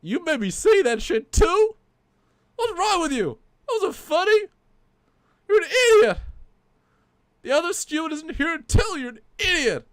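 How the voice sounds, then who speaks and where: A man's voice: very high voice, lively intonation, a little fast, hardly any pauses, pushed and bright, dry recording, pitched above the performer's own voice, exaggerated voice. A man voicing a grumpy male puppet, a former Shakespearean actor stuck with a put-on Southern colonel drawl, theatrical and insulting. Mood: furious. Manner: theatrical, insulting, self-pitying bluster. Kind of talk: comic puppet bit on a livestream.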